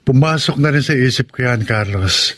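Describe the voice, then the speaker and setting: An actor playing a gentle, earnest older father in love in a radio drama. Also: medium pitch, normal speed, no room sound, lightly acted. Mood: earnest, wistful. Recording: radio drama with loud music.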